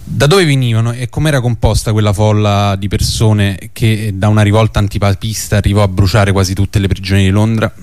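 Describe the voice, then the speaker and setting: Male radio host, smooth and fairly low, commentary delivery, dry studio sound. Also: fairly even intonation, brisk, few pauses, darkish tone, dry recording. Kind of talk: radio presenting, partly scripted commentary.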